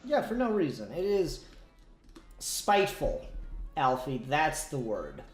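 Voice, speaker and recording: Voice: medium pitch, wildly swooping pitch, slow, soft background music. A podcast co-host in casual comic book discussion, talking emphatically, repeating words and swearing. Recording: roomy, echoey sound.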